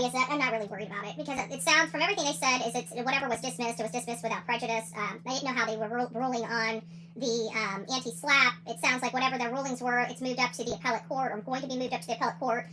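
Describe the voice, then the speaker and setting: Lady ranting on a livestream, high voice, talks really fast, fired up and repeats herself, bit of background noise. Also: sharp tone, rough recording. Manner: combative, indignant, emphatic; repeats phrases for emphasis. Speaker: livestream host. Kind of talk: opinionated livestream commentary on court cases.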